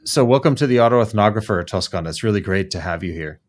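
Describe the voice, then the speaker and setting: A man with a very low voice hosts the conversation. He asks friendly questions and often repeats a word while he works out the rest of the question. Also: animated, fast talker. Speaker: podcast host. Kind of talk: interview questions.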